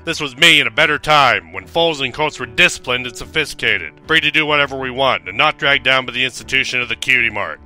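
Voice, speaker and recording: A man's voice: medium pitch, fast talker, few pauses, pushed and bright, soft background music. A man voicing a jaded, crabby pony schoolteacher, sardonic and scolding, in a parody cartoon dub. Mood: sardonic ranting.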